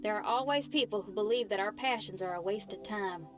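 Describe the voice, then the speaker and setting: A woman's voice: medium pitch, brisk, close to an everyday voice. A woman playing a sympathetic young companion in an anime game. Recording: music behind the dialogue.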